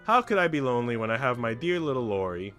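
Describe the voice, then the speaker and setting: A man's voice: mid-range voice, very animated, quick pace, a bit bright, faint music behind. A man voicing a haughty, teasing young mistress with arch, precise, theatrical phrasing, in a visual novel. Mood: smug.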